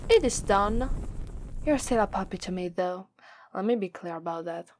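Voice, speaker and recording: A woman's voice: medium pitch, lively intonation, a little mellow, a little hiss or hum, lightly acted. A woman with an Italian accent voicing a haughty, menacing female demon who taunts and condescends in an ASMR roleplay. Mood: commanding, haughty. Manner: condescending, drawn-out, taunting delivery.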